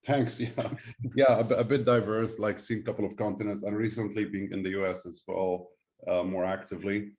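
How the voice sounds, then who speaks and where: Low, mellow-voiced male founder, Syrian-born. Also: level tone. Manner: halting, non-native phrasing. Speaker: startup founder, guest. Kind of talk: conversational interview.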